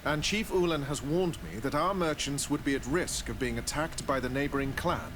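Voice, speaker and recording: Actor as courteous diplomat under loud noise. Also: male voice, medium pitch, normal speed, natural voice. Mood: formal, concerned. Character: formal male colonial diplomat, courteous and cautious. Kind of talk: video game dialogue acting. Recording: very noisy game capture.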